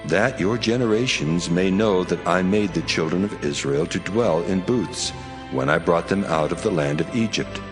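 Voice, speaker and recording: Man playing commanding divine being, very low voice, solemn, long hall reverb, dramatized scripture. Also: normal speed, natural voice. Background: music.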